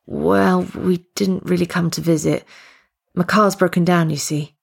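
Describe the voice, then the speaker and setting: A woman's voice: lowish voice, steady tone, unhurried, gravelly, husky, exaggerated voice. A woman voicing an awkward, polite young male university student in storybook dialogue, speaking hesitantly.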